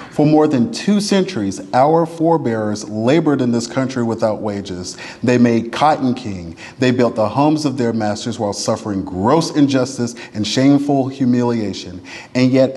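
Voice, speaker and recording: A man reads a written letter aloud in a solemn, oratorical style, with deliberate, emphatic phrasing and slowed, falling endings to phrases. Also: mid-range voice, warm tone. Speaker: reader at a church service. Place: church sanctuary. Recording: long reverb of a large hall.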